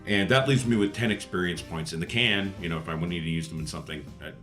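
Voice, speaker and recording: A man's voice: low-pitched, fast talker, fairly bright tone, faint music behind. A man chatting casually as a player on a tabletop roleplaying podcast. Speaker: player on an actual-play podcast. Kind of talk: casual tabletop game chat.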